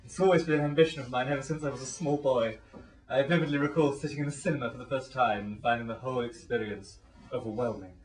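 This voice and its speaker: A man playing a pompous, florid, rambling gentleman in an absurd comic radio play. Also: medium pitch, natural voice. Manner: florid, grandiloquent. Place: small dressing room. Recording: echoey room, distant microphone.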